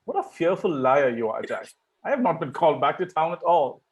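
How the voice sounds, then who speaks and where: Casting: idle, cheeky young Victorian gentleman played by a man, lightly raised pitch, breezy teasing, amateur comedy read. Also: very animated, fairly quick. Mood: flippant, teasing.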